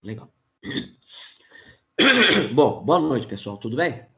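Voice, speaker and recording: Hoarse Brazilian man teaching online. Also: mid-range voice, very animated, very slow, pushed and bright. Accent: Brazilian Portuguese. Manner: casual, frequent filler words and restarts. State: hoarse from a cold. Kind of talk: online class lecture.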